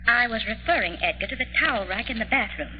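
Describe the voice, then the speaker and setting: A woman's voice: a little high, expressive, quick pace, lightly acted. An actress playing an exasperated, nagging housewife and mother in a 1940s radio drama. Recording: dull, echoing, hissy old radio recording.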